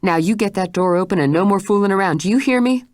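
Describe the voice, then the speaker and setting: A woman's voice: medium pitch, very animated, moderate pace, dry recording, studio quality, voice pushed up, lightly acted. A woman voicing a stern, determined housekeeper who scolds and threatens. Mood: threatening. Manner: scolding, forceful.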